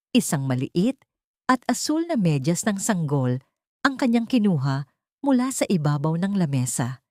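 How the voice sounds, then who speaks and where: A woman narrating a scripted dramatic story in a measured, solemn, literary way. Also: low voice, dark tone, dry recording, clean studio sound.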